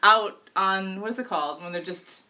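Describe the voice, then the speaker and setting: Bright-voiced chatty American woman. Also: medium pitch, moderate pace. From United States. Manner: laughing, chatty. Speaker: co-host. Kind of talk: casual live-stream chat.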